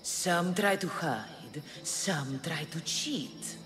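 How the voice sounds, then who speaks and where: A woman's voice: low-pitched, animated, extremely slow, over music, heavily acted. A woman voicing an ancient, imperious female Sphinx in a video game, reciting grandly and tauntingly. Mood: riddling, menacing. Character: ancient riddling Sphinx, imperious female monster guarding power. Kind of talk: video game character acting.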